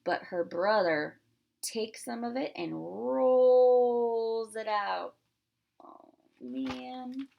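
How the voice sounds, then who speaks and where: A woman reading a picture book aloud to young children, expressively emphasizing words and pausing to explain and ask questions. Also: a little high, creaky, muffled recording, a little hiss or hum. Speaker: pre-K teacher.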